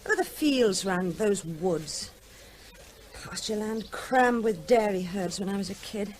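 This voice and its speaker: An actress playing a vet's playful grown daughter in a vintage radio drama, young and animated. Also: female voice, medium pitch, unhurried, slight background noise, natural voice. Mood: lively. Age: young adult. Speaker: Tess, the daughter.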